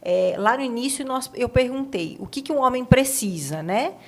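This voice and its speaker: A woman's voice: on the high side, animated, moderate pace. A woman with a Brazilian accent, hosting a conversational interview and asking questions in an informal, engaged way. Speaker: podcast host; marriage therapist.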